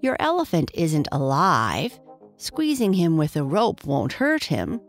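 A friendly farmhand from a children's storybook, read by a woman, practical and reassuring as he calls out. The delivery is lively in pitch, with faint music behind it. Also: unhurried, exaggerated voice. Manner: calling out, reassuring. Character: grown man, friendly barn worker, reassuring, practical.

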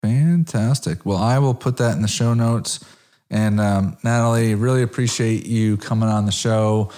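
Podcast host, male, early fifties, average pitch, casual and conversational interviewing. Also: steady tone, normal speed, warm tone.

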